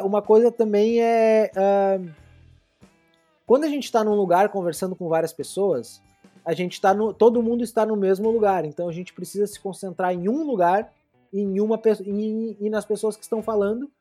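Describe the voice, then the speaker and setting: Podcast lady explaining stuff, lots of restarts and "né", warm mellow sound. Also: mid-range voice, breathy edge. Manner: rambling, many restarts and tag questions. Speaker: podcast co-host. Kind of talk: casual explanatory chat. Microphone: live streamed podcast.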